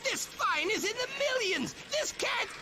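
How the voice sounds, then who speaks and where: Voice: very high-pitched, sing-song, unhurried, background noise, exaggerated voice. A performer voicing a gleeful, showy game announcer who grants wishes in a grandiose, exclamatory way. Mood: excited. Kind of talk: theatrical game cutscene acting.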